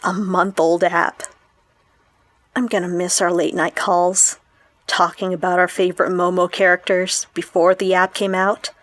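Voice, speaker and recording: An actress playing a lonely, troubled young woman who confides in her vlog, with a husky, emotional, halting delivery in an audio drama. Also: on the low side, flat delivery, fairly bright tone, dry recording. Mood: grieving. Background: music.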